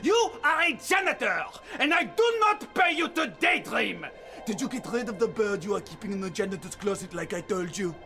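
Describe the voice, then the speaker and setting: A shrill, irate bullying boss. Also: male voice, sing-song, moderate pace, faint music behind, exaggerated voice. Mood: furious, scolding. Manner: shouting, ranting, theatrical. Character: irate, bullying male boss scolding his janitor. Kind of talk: audio drama acting.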